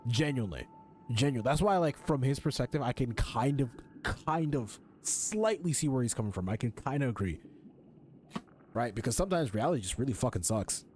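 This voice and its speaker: A man's voice: medium pitch, sing-song, brisk, darkish tone, a little hiss or hum. Slangy, blunt man reacting to a game. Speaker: streamer playing a game. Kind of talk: casual live game reaction commentary. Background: game music.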